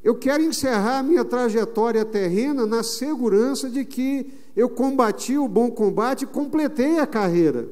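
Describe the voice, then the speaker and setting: A Brazilian man preaching a sermon as pastor, emphatic, stretching some phrases slowly. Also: very high-pitched, a little mellow. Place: church sanctuary. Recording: long reverb of a church hall.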